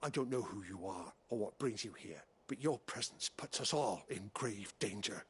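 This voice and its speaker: An actor playing a stern, wary village leader in a fantasy video game, husky and firm, speaking formally. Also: male voice, fairly low pitch, expressive, normal speed, full character voice. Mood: grave, resigned. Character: stern, wary male village leader, proudly resigned to submission. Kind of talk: scripted video game acting.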